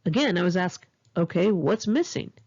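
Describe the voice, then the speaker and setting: Memoir narrator: Californian woman, gravelly and husky, lively intonation, candid conversational storytelling. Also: on the low side, moderate pace, lo-fi sound. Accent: Californian American. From Los Angeles area, California, United States. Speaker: author reading own memoir. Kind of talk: narrated memoir audiobook, one-take home reading.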